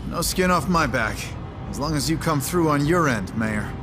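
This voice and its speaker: An actor playing a plain-spoken, determined wasteland ranger in a video game, terse and matter-of-fact. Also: male voice, fairly high pitch, expressive, normal speed, over music, natural voice. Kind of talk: video game character acting.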